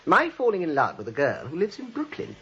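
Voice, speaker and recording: A man's voice: high voice, huge pitch swings, a little fast, sharp tone, muffled recording, full character voice. An actor playing a glib, sardonic young man in a 1940s radio comedy, teasing and breezy, on a vintage recording. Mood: teasing, wooing. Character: wry, breezy young man, a cynical drama critic.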